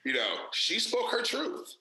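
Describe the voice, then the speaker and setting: Guy talking straight in an interview, real bright sharp tone, roomy echo, lots of ums. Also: sits high, wildly swooping pitch, unhurried. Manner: candid, emphatic, many fillers and restarts. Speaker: actor and director. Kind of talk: casual interview conversation.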